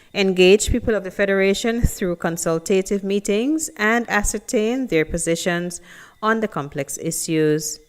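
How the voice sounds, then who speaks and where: A woman's voice: fairly low pitch, smooth. A woman reading scripted news narration as a reporter.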